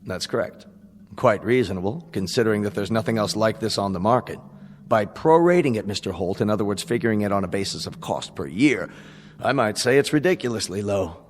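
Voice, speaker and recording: An actor in a radio drama playing a smooth, courteous salesman with polished, businesslike patter. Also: lowish voice, animated, a little fast. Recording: long hall reverb, music underneath.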